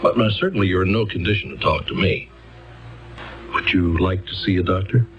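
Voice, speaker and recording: An actor playing an earnest, exasperated male defense lawyer in a radio drama, courteous but halting and stammering. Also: deep voice, highs cut off, faint music behind, natural voice. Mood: flustered, coaxing. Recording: band-limited old radio drama recording.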